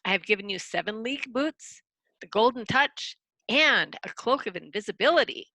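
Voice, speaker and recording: A woman's voice: fairly high pitch, expressive, moderate pace, pushed and bright, no room sound, heavily acted, poor audio. A woman playing a proud, defensive royal wizard in a storybook readers theater, reciting in a grand, declamatory way. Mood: boastful.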